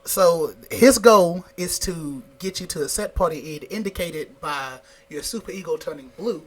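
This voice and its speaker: A man in casual conversation, animated, stumbling over restarts as he explains. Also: a little high, a little hiss or hum. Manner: animated, halting restarts, laughs often. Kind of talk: casual chat.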